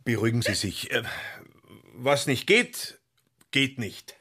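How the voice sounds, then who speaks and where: A man's voice: mid-range voice, big pitch swings, slow pace, pushed and bright, husky, exaggerated voice. An actor playing a self-important, money-minded car salesman in a radio play, opinionated and blustering. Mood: blunt, self-important.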